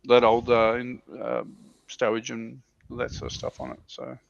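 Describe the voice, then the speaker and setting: Male hobby-stream panelist, casual and hesitant, gravelly edge, dry dull recording. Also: medium pitch, little pitch movement, normal speed. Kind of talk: casual chat about miniature wargaming. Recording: dull, muffled lo-fi audio.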